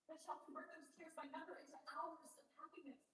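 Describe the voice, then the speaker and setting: A woman's voice: very high voice, flat delivery, fast, a bit bright. A woman playing an earnest, reassuring young king in a declamatory staged reading of Shakespeare. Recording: echoey room, distant mic, faint hiss.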